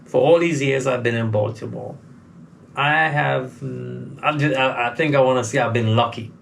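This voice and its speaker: A man's voice: mid-range voice, fairly even intonation, moderate pace, gravelly, fairly bright tone, slight background noise. A man from Trinidad with a faded Trinidadian accent, answering interview questions in a hesitant way and repeating words as he starts. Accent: faint Trinidadian, mostly lost. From Trinidad and Tobago. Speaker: recording artist guest. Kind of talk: casual interview.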